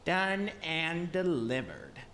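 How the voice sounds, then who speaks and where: A woman plays a plucky young servant lad, low-voiced and unhurried, with a very animated melody. The lad is breezy and a bit cocky, acted in radio play style over some noise. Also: exaggerated voice. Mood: breezy confidence. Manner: feigned innocence, easygoing.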